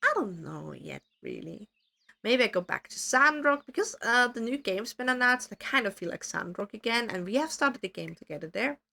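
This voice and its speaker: A woman streamer chatting casually with her viewers, her voice worn hoarse from talking too much, rambling and self-deprecating. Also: on the high side, very animated, moderate pace, sharp tone.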